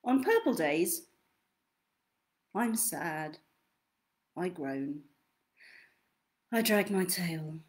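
A young woman reading picture books aloud to children in an expressive, theatrical way, drawing out key words. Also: lowish voice. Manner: theatrical, deliberate, drawn-out delivery of key words. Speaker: children's storytime reader. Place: small indoor room at home.

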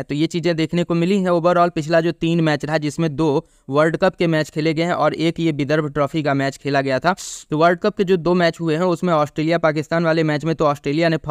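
Smooth, dry-voiced male cricket analyst. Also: a little high, fairly quick, no echo. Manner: steady stat-reading with brief restarts. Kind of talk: pitch report and match preview.

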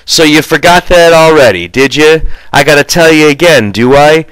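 A man voicing a small, sharp, irritable itinerant ranch hand who scolds in folksy rural slang. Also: on the high side, moderate pace, clean tone, no echo, voice pushed up, close to an everyday voice, poor audio. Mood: angry.

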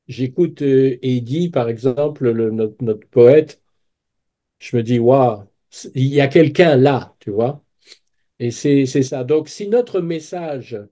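Earnest older man on a video call. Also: medium pitch. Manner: earnest, restarts phrases, gets animated. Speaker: guest, book fair president. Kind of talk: conversational interview answer. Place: small room indoors. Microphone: webcam on video call.

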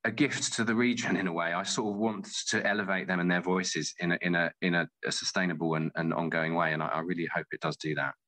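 Deep-voiced middle-aged man. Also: fairly even intonation, fast talker, hardly any pauses, bright, forceful tone. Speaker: playwright and interview guest. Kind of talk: casual interview talk.

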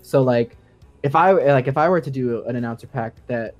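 Male podcast guest, sound designer, mid-pitched, keen and nerdy explainer, casual delivery, music underneath. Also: normal speed, highs cut off. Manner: enthusiastic, nerdy, chuckles as he explains.

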